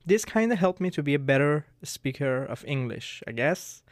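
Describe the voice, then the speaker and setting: Young man, Farsi native, student radio co-host, easygoing, laughs a lot, slightly high voice, dry close sound. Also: animated, normal speed, no echo. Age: young adult. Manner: light, laughs often, ends points with "right?". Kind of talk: casual radio chat.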